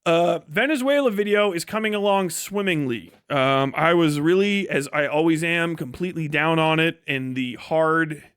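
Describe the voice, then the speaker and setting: A man's voice: fairly high pitch, normal speed, few pauses, fairly bright tone, clean tone, dry recording, studio quality. A man chatting casually on a livestream about his work, rambling and swearing heavily in a sardonic, exasperated way. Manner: heavily profane. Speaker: livestreamer and video creator giving a work update.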